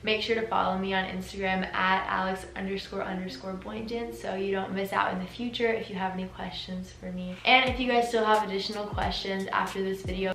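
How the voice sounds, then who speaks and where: An eighteen-year-old woman answering viewer questions in a casual vlog, chatty and full of "like" fillers, her voice a little rough from a sore throat. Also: mid-range voice, fairly bright tone. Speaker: vlogger. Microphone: camera mic. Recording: echoey room with music underneath.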